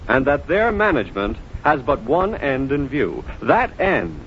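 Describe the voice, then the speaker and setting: A man with an American accent reading a scripted 1940s radio announcement in a formal, deliberate, emphatic manner. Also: a little high. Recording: muffled, noisy 1940s radio broadcast.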